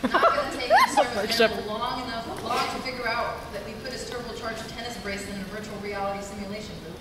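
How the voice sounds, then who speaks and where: An actress playing a tough, blunt young covert agent in a live comedy script reading. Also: female voice, a little high, very animated, quick pace, over a noisy crowd. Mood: curt, wounded. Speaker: actor at a live table read. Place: large convention panel hall. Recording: echoey hall, distant mic, noisy.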